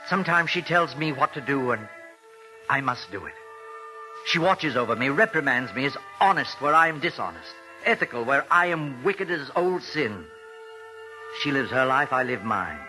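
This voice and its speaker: An actor playing a haunted ventriloquist in thrall to his dummy, speaking in measured, confessional phrases in a 1940s radio drama. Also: mid-range voice, expressive, sharp tone, poor audio. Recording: old radio recording, faint music behind.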